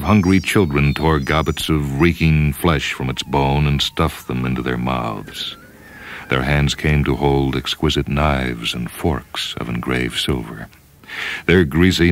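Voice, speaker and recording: A man narrating a story in a dramatic, measured, grave manner. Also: extremely low pitch, a little hiss or hum. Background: music.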